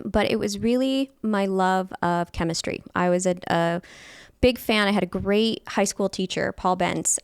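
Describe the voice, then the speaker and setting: A woman's voice: medium pitch, clean tone, no room sound, studio quality. A woman, a guest telling her story in a candid, chatty way. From California, USA. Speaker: guest, fermentation specialist. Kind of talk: casual interview chat.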